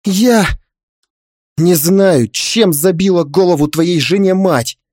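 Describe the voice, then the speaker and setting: A man playing a tyrannical older father-in-law in an audiobook drama, contemptuous and manipulative, speaking with drawn-out menace. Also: on the low side, darkish tone, no echo, clean studio sound, voice pushed down, close to an everyday voice. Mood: wounded outrage.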